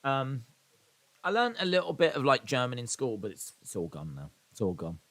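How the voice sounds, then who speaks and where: A man's voice: medium pitch, big pitch swings, normal speed, fairly bright tone. A man in his thirties from England, hosting a casual live watch-along stream, chatting, rambling and reading out viewer comments with self-deprecating asides.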